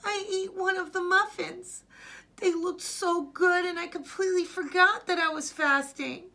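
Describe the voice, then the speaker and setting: A woman voicing an excitable, anxious little boy who exclaims plaintively in a children's storybook. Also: extremely high pitch, measured pace, voice pushed up, heavily acted. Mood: tearful, distraught. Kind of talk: children's storybook read-aloud.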